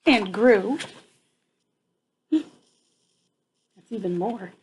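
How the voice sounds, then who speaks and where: A woman's voice: mid-range voice, lively intonation, very slow, a little mellow, a little hiss or hum. An older woman reading a picture book aloud to children like a grandmother, adding chatty asides and checking in with her young listeners.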